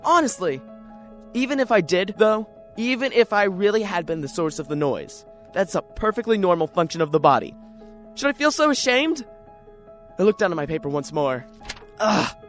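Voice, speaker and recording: A man's voice: high-pitched, big pitch swings, moderate pace, fairly bright tone, faint music behind. A man playing a lonely, neurotic, paranoid writer in an introspective, theatrical radio drama monologue. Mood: self-conscious, brooding.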